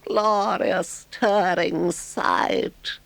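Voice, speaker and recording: A man's voice: sits high, steady tone, creaky, a bit bright, dry recording, pitched above the performer's own voice, exaggerated voice. A man voicing a vain, rapturous male toad who talks in a dreamy, swooning way. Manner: dreamy, swooning, drawn-out exclamations. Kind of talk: storybook character voice.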